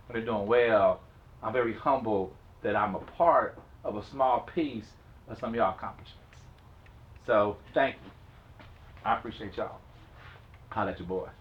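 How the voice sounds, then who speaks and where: A man leading a group workshop, joking at first and then speaking earnestly and gratefully to the young people. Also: on the low side. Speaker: workshop facilitator. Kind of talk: casual group chat. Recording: slightly dull sound.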